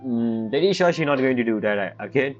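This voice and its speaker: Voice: medium pitch, lively intonation, fairly quick. Blunt gamer streaming on a dull mic. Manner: informal, blunt, scoffing, non-standard grammar. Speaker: streamer reacting to game. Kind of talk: casual gameplay commentary. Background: game music.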